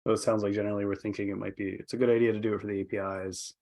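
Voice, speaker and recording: Guy recapping a work call super fast, gravelly fry in his voice. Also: on the low side, level tone, a little mellow. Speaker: meeting participant summing up decisions. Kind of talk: casual meeting summary.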